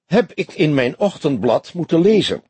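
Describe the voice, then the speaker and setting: A man's voice: a little high, big pitch swings, normal speed, a little mellow, breathy edge, dry recording, pitched above the performer's own voice, heavily acted. A man voicing a stiff, affected, easily outraged police commissioner in an audiobook, stern and emphatic. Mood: stern, indignant. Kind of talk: audiobook character voice.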